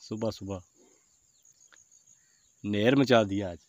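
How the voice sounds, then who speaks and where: A young man filming a fishing trip on a lakeshore, giving excited running commentary. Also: fairly low pitch, slow, no echo. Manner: excited, repeats praise exclamations. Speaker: fishing vlogger. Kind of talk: casual outdoor commentary. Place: lakeshore outdoors.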